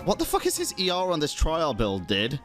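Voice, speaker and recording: Animated, sweary male game streamer. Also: a little high, brisk, soft background music. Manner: sweary, slangy, reacting off the cuff. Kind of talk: casual game stream commentary.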